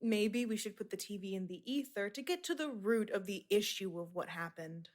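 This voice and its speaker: An actress playing a wry, unflappable female patient in a radio drama, casual and quick with comebacks. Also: mid-range voice, close to an everyday voice. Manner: sardonic, casual.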